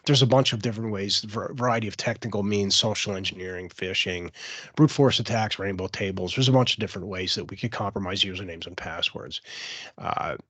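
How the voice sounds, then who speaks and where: A man presenting a cybersecurity webinar, a little hoarse from a cold, explaining with frequent fillers and restarts. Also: on the low side, brisk, fairly bright tone, no room sound.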